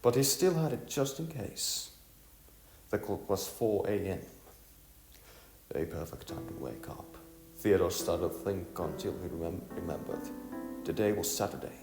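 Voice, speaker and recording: A man reading scripted storybook narration in a halting way, stumbling over words and repeating them. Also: deep voice, animated, creaky, a little mellow. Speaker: narrator of an audiovisual novel. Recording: echoey room, music underneath.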